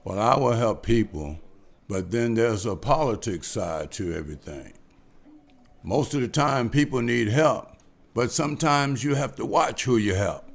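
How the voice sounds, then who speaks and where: Gravelly guy doing a table read as a careful business man, guarded and measured. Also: mid-range voice, lively intonation. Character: cautious businesslike male bank official.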